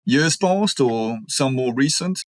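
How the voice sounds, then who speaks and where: Belgian-accented man, thoughtful and halting. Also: mid-range voice, animated, dry recording. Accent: Belgian French. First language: French. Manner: thoughtful, many ums and restarts. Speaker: architect guest. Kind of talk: conversational interview.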